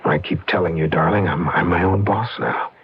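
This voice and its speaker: A man's voice: low-pitched, normal speed, slight background noise. An actor in an old radio drama playing an ambitious, impatient, slick husband turned rich businessman. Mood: soothing, troubled. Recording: dull lo-fi radio drama recording.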